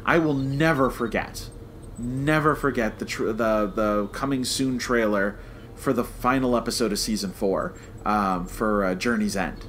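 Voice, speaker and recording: An American man co-hosting a podcast in casual, animated fan chat that slips into mock quotes. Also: medium pitch, measured pace, slight background noise. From United States. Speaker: podcast co-host.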